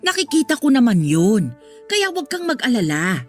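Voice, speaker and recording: A woman with a Filipino accent playing a patient, gently firm middle-aged mother in a radio drama. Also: fairly high pitch, big pitch swings, normal speed, soft background music. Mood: soothing. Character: patient, protective middle-aged mother soothing her daughter.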